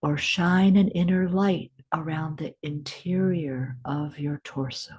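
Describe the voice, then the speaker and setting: A woman leads a guided meditation in a low, husky voice. She speaks very slowly and gently, leaving deliberate pauses between short instructional phrases. Her tone is soft, with breathy edges. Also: steady tone, lo-fi sound.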